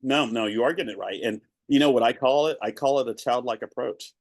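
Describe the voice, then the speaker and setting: A man's voice: medium pitch, fast, no echo. A man from Arkansas, a guest in a podcast interview, talking in an earnest, reflective way and often repeating words as he starts a thought. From Arkansas, USA. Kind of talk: conversational interview.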